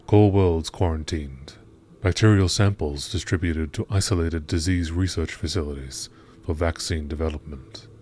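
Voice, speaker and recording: A man reading scripted narration in a measured, formal nature documentary style. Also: low voice, a little mellow. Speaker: gaming channel narrator.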